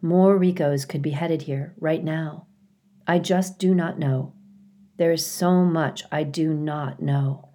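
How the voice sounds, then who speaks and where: A woman voicing a female robot mother in a storybook, calm, earnest and tenderly devoted, with some sadness. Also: low-pitched, slow. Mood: earnest, uncertain.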